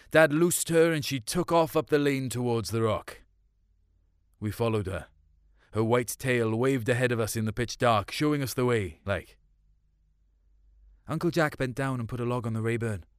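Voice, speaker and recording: A man's voice: mid-range voice, smooth, no echo. Man reading a story, close and dry. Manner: colloquial, confiding storytelling. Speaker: short story reader. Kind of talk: dramatic first-person story narration in colloquial voice.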